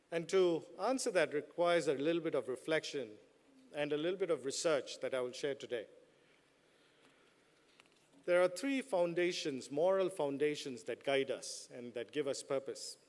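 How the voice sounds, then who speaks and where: Middle-aged guy at a podium doing a graduation speech, bit higher voice, cracks jokes, long hall echo. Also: darkish tone. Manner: joking, playful asides to the audience. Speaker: business school dean giving a commencement speech. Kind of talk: commencement address. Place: large hall on a stage. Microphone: podium microphones. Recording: reverberant large hall.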